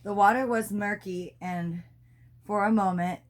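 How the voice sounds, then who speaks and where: A woman's voice: mid-range voice, fairly even intonation, unhurried, a little hiss or hum. A woman reading a children's storybook aloud expressively, as a mother does with her child.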